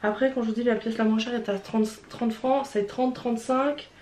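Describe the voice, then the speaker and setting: A woman's voice: on the high side, steady tone, moderate pace, darkish tone. A young woman with a Swiss French accent, stuffy from a cold, chatting frankly and hesitantly as she unboxes and tries on clothes, with lots of fillers and restarts. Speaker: vlogger. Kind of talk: casual unboxing and try-on chat. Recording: roomy with faint background noise.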